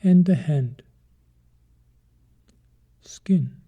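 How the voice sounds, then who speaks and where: A man guiding a meditation in short, measured phrases with long pauses between them. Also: on the high side, breathy edge, phone-quality sound. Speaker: meditation teacher. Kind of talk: guided meditation instruction.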